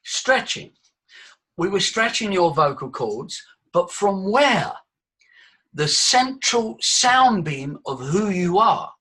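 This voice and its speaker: Husky man coaching voice exercises. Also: sits high, big pitch swings, takes it slowly, bright, forceful tone, rough recording. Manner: breathy, demonstrative, leads vocal exercises. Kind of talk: live workshop teaching.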